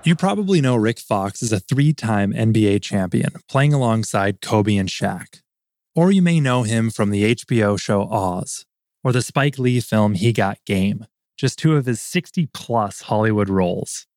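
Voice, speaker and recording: A young adult man hosting a podcast, reading a scripted introduction and asking interview questions in an earnest, steady way. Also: on the low side, normal speed, dry recording, studio quality. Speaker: podcast host.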